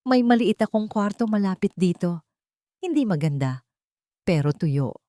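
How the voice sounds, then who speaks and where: A woman voicing a kind, widowed older woman who sells vegetables, speaking in a soft, motherly way. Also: medium pitch, animated, measured pace, dry recording, studio quality, close to an everyday voice. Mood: gentle.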